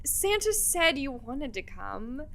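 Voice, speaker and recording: Woman as an earnest, very high-voiced young graduate. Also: very animated, takes it slowly, gravelly, pushed and bright, heavily acted. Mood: tender. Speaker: actor in an audio play. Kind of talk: audio drama dialogue.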